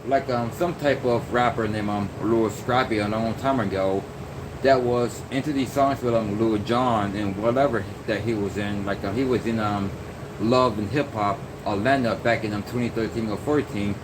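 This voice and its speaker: A man's voice: fairly low pitch, fairly even intonation, normal speed. A young man talking in a loose, rambling vlog monologue, full of fillers and restarts. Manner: meandering, many fillers and restarts. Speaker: vlogger. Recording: noisy background hum.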